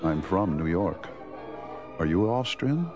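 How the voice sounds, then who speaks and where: An actor playing an American man from New York, an actor by trade, in a slow, carefully spoken language course dialogue. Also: deep voice, very animated, over music, full character voice. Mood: friendly. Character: American male actor from New York, chatting up a woman at a party.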